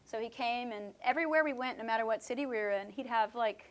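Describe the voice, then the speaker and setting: Chatty higher-voiced woman musician. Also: fast talker. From West Coast of Canada. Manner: thoughtful, chatty, frequent fillers and laughs. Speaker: musician guest. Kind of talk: relaxed conversational interview answers.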